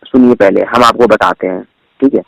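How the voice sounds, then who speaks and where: A man's voice: on the low side, fairly quick, vocal fry, dry recording. Bihari man on a recorded phone call. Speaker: phone caller posing as board office official. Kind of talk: persuasive phone pitch.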